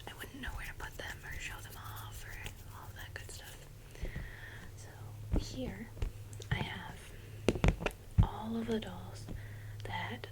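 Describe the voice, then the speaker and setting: A young adult woman whispers breathily in a soft-spoken ASMR style, chatting informally. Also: medium pitch. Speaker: ASMR video creator. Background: clear noise, clicks and crinkles from handled items.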